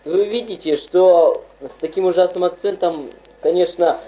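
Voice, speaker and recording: A woman playing a mock lecturer in a comedy skit, talking haltingly with many fillers. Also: lowish voice, dark tone, rough recording. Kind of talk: parody radio program.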